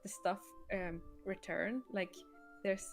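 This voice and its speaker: A woman's voice: mid-range voice, steady tone, takes it slowly, soft background music. A woman co-hosting a casual livestream as a game creator, thinking aloud in a hesitant way.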